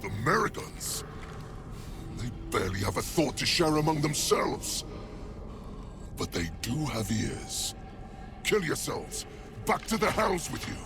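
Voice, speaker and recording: A man's voice: on the high side, big pitch swings, unhurried, pauses often. An actor playing a proud, bitter devil in a video game, theatrical and snarling, savoring his words. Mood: bitter, menacing. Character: menacing male devil bound by a cruel contract, proud and bitter. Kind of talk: video game character acting. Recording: music behind the voice.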